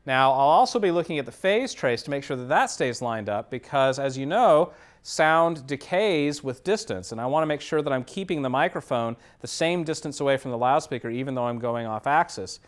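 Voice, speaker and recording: A man's voice: mid-range voice, expressive, fairly quick. A man giving a hands-on demonstration lecture as an instructor, explaining things methodically, step by step. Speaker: audio engineering instructor.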